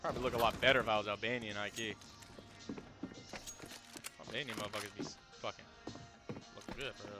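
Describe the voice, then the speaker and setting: A man streaming a game, talking casually with loud, profane, excitable outbursts. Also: lowish voice, a little fast, long pauses, over loud music. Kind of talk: casual live gameplay commentary.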